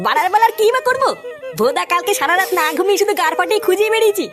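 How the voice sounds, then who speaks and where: A woman's voice: extremely high pitch, lively intonation, racing, fairly bright tone. A woman voicing a belligerent, foul-mouthed father in a comic cartoon. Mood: grumbling. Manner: belligerent, complaining. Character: hot-tempered, foul-mouthed father of missing boy.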